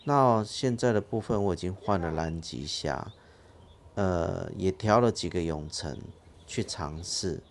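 Low gravelly man explaining fishing gear. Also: measured pace, a little hiss or hum. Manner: plain explanatory, with fillers. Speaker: fishing channel host. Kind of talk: casual how-to narration. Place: outdoors at a fishing harbour by boats.

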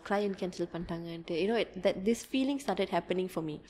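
Fast-talking young woman. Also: lowish voice. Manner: fast, animated, mixing Tamil and English, many fillers. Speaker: tour guide guest. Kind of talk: casual podcast conversation. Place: small indoor room at a table. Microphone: desk podcast mic.

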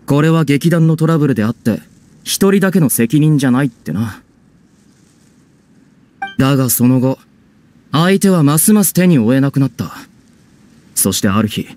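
An actor playing a guilt-ridden young man of a theatre troupe in a Japanese video game, speaking gravely and haltingly with remorse. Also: medium pitch, a little mellow, natural voice. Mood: regretful, somber.

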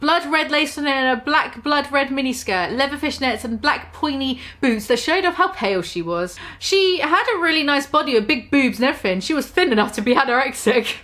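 A young woman with a high voice delivers a comic dramatic reading. She is theatrical and mock-serious, overplaying the text and stepping out of it with amused remarks. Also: animated, a little fast, hardly any pauses, fairly bright tone. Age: 20s. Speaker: online video host. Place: small room at home.

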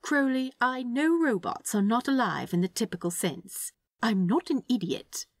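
A woman's voice: a little high, lively intonation, moderate pace, no echo, studio quality, pitched above the performer's own voice. A woman voicing a prim, doting male angel with a fussy, polite manner in a storybook reading. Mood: prim, scolding. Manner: fussy, polite, cooing, tutting. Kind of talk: storybook character voice in fan fiction reading.

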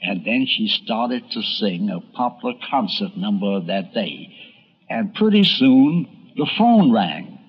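A man's voice: on the low side, sing-song, slow, slightly rough, muffled recording. An older man hosting a documentary, narrating from a script in a conversational storytelling way. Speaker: documentary host and radio columnist.

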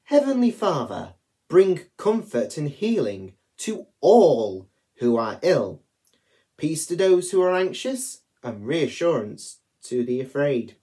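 Young male, prayer reader at online worship. Unhurried, deliberate phrasing, very varied intonation. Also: on the high side, sing-song, dark tone. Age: young adult. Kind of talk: reading prayers aloud. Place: small attic room at home.

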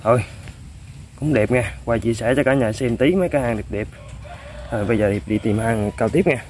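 A man, excitedly commenting to viewers while fishing on a riverbank. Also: mid-range voice, fairly quick, a little hiss or hum. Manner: excitable, exclaims often, chuckles. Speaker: fishing vlogger. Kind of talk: casual outdoor commentary to viewers. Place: outdoors by a riverbank.

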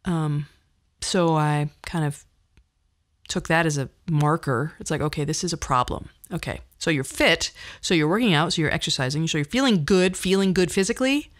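A woman in her fifties with a fairly low voice hosts a podcast monologue. She talks conversationally about her own life, with a wry, self-deprecating touch. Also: normal speed. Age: 50s. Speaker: podcast host. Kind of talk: personal storytelling monologue.

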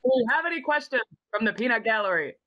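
A young woman hosts with a forceful, bright voice on the high side. She addresses her guests with mock formality and a theatrical flourish, in a playful chat-show style. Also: animated, quick pace, no echo, muffled recording. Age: young adult. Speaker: podcast host. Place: indoor room at home, video call. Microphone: video call.

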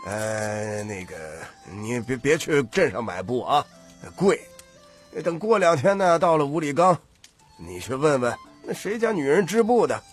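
A man playing a thrifty, bossy old grandfather who heads a troupe, with a husky, drawling, lecturing delivery in an audiobook. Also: mid-range voice. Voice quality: husky, raspy. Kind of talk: audiobook character acting.

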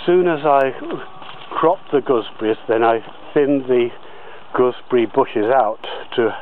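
A man giving a slow, halting outdoor tour of his allotment plot, with many pauses and fillers. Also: mid-range voice, phone-quality sound, slight background noise. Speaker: allotment gardener.

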